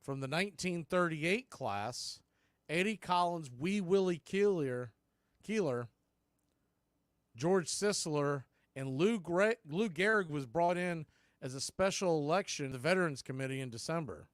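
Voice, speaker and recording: A man hosts a baseball broadcast with a slightly high-set voice and a dry, close sound. He calls the action in clipped phrases, leaving deliberate pauses between them. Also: animated, no room sound. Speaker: baseball play-by-play host. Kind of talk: live play-by-play commentary mixed with history recap.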